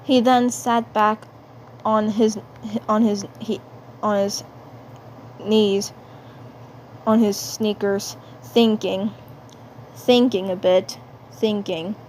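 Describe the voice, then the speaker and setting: A woman narrating an amateur fandub story in a halting way, often repeating her phrases. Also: mid-range voice, fairly even intonation, a little mellow. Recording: noticeable background noise.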